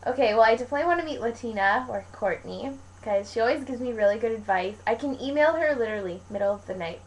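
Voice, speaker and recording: A young woman from Canada speaks in a medium-pitched voice. She chats casually as a vlogger, answering viewer questions in loose, colloquial, wandering sentences. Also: fairly bright tone, rough recording. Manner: rambling, informal, colloquial with frequent "like". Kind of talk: casual chat to camera, Q&A vlog.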